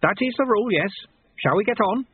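A man's voice: high-pitched, expressive, fairly quick, slightly rough, no echo, narrow, tinny audio, pitched above the performer's own voice. A man voicing a wily old sweeper monk, a stern and bossy master who snaps and needles, in an audiobook. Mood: dry. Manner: snapping, growling, needling.

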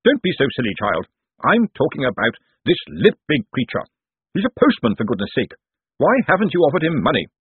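Man putting on a snappish, haughty high-voiced matriarch. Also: huge pitch swings, a little slow, few pauses, slightly rough, no echo, narrow, tinny audio, exaggerated voice. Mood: condescending. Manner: snappish, indignant, condescending. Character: haughty, snapping female relative, poisonous and scornful.

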